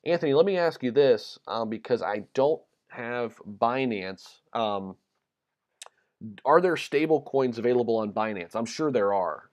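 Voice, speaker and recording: A man's voice: mid-range voice, unhurried. A man from the United States hosting a casual crypto livestream, reading viewer comments with lots of ums and repeats, his voice a little worn. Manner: relaxed and chatty, many ums and repeated words. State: slightly losing his voice.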